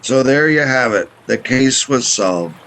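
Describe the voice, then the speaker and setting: A man's voice: medium pitch, lively intonation, unhurried, a bit bright, poor audio. A man playing a plainspoken, folksy boat captain who narrates and acts in a radio play. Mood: resigned, wry. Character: folksy boat captain telling his tale. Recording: faint background noise.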